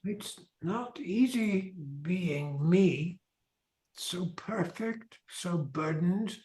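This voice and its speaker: A man's voice: high voice, lively intonation, drawn-out words, a little mellow, exaggerated voice. An older man playing a vain, self-pitying rich young man in a radio play, with a pompous delivery. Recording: echoey, roomy sound.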